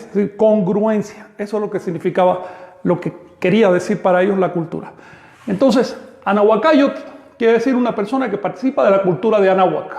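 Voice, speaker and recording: A man giving an online language lesson, explaining terms slowly and deliberately. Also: high-pitched. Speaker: language teacher. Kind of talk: online lecture. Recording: echoey room.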